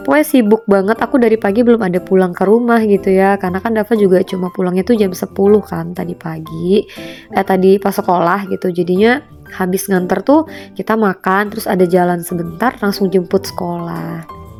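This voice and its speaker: A woman's voice: mid-range voice, a little fast, vocal fry, a little mellow, soft background music. A young woman narrating a casual home vlog in a chatty way, her voice a little stuffy from a cold. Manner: chatty, fillers like gitu and guys. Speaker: vlogger, homemaker.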